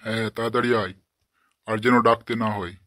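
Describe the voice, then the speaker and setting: A man doing a gruff, scolding village father. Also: fairly low pitch, steady tone, a little fast, a bit bright, dry recording, lightly acted. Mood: angry scolding. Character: foul-mouthed, short-tempered village father and husband. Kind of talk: comic cartoon dubbing.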